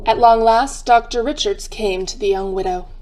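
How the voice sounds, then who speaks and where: A woman's voice: fairly high pitch, steady tone, moderate pace, music in the background, lightly acted. A woman playing a knowing, dry narrator of a radio crime drama. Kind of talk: scripted old-time radio narration.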